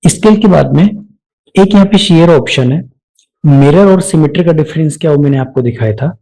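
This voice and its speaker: A man with an Indian accent narrating a step-by-step software tutorial, explaining each action aloud as an instructor. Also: fairly high pitch, normal speed, rough recording.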